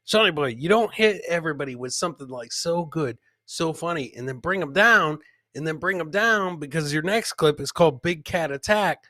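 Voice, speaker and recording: A man's voice: a little high, lively intonation, moderate pace, bright, forceful tone, no echo. A man hosting a casual comedy clip show, chatting to his co-host and viewers and often repeating phrases for comic effect. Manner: chatty, addresses co-host and live viewers by name.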